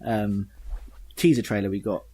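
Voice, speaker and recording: A man hosting a casual podcast discussion, with a slightly husky voice. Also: fairly low pitch, moderate pace, dark tone. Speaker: podcast host. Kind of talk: casual panel chat about games news.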